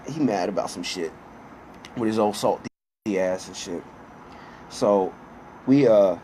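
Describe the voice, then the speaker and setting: A man in his thirties with a mid-pitched voice tells a personal story in loose, profane slang. He talks conversationally and slips bits of quoted dialogue into the telling. There is noticeable ambient noise behind him. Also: a little mellow. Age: 30s. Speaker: storyteller and host. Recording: clear background noise.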